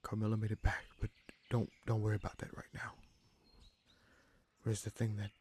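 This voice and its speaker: A man playing a gruff but caring human bandit leader in a fantasy audio roleplay, wry and protective, with informal, halting phrasing. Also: monotone, darkish tone, slight background noise, natural voice. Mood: urgent, caring. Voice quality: gravelly, husky low voice.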